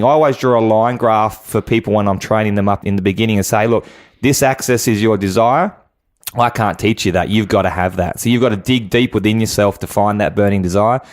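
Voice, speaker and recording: A man giving earnest, exhorting motivational advice as an interview guest. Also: medium pitch. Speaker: guest business coach.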